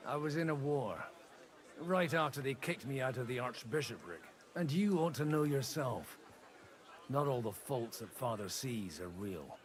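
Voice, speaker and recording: A man's voice: mid-range voice, animated, measured pace, natural voice. An actor playing a blunt, disgraced brawling priest, a nobleman's elder son, in dramatic medieval game dialogue. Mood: wry, frank. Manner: blunt, plain-spoken.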